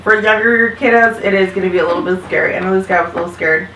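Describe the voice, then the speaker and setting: A woman's voice: medium pitch, very fast, pushed and bright, slight background noise. Woman, 30s, vlog host and mother, upbeat and chatty, heard from a distance in a reverberant room. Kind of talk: casual chat recommending podcasts. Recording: roomy sound, mic at a distance.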